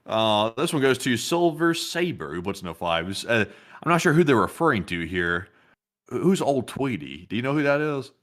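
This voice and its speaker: A man's voice: medium pitch, sing-song, normal speed, fairly bright tone. A young man co-hosting a livestream, reading out donations and rambling with hesitant repeats. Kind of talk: casual livestream chat.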